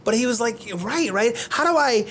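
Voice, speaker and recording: Excitable man hyping his co-host. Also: very high voice, normal speed, slight background noise. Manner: animated, exclamatory, stammering restarts. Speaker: podcast co-host. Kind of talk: casual motivational chat.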